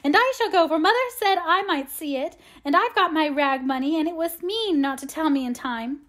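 A woman acts out a stubborn little girl from a storybook, pitched very high. She wheedles and whines with petulant insistence, like a younger sister set on getting her way. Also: animated, brisk. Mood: excited, defiant. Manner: coaxing, pleading, petulant.

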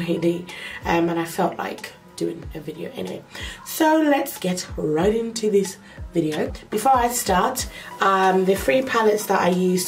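A young woman with a cold, sounding nasal, chatting step by step through a makeup tutorial in her bedroom, close to the camera. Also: lowish voice. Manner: slow, chatty step-by-step talk with fillers. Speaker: makeup vlogger. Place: small bedroom indoors. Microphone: camera close up to the face.

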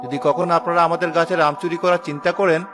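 A man's voice: a little high, level tone, racing, close to an everyday voice. A man voicing a stern village son guarding the family's tree, in a declamatory children's cartoon style. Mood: stern, threatening. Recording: music behind the voice.

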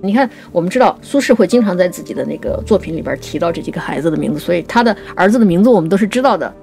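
A woman with a lively, expressive delivery talks through classical literature as a programme co-host. She explains in a conversational way and slows into measured recitation for quoted lines. Soft music plays underneath. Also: on the low side, creaky. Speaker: writer and co-host of a poetry programme.

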